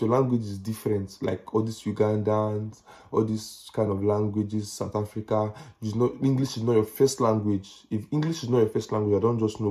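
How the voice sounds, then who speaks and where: A young Nigerian man talks in a relaxed, rambling vlog style with a Nigerian accent. His voice sits lowish and sounds warm and mellow. He circles back over his points and drops in slangy asides. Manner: chatty, repeats himself, slangy asides. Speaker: vlogger. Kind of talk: casual advice vlog.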